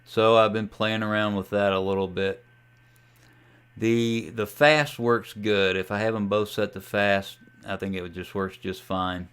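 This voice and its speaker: A man giving a casual, folksy tutorial as a ham radio hobbyist, talking through a software demo in an unhurried way. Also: lowish voice, level tone, smooth. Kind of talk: casual screen-share tutorial.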